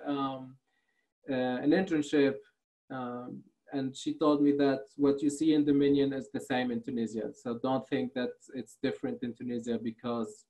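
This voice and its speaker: A man's voice: medium pitch, warm tone, low-quality recording. Hesitant man, non-native English speaker. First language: not English. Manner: hesitant, many fillers and restarts. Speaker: workshop speaker. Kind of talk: online workshop talk over slides. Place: small room indoors.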